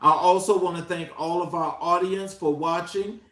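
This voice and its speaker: A man's voice: high voice, steady tone, normal speed, a bit bright. A man hosting an interview, welcoming his guest and the audience and asking long, structured questions. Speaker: host. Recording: echoey room audio.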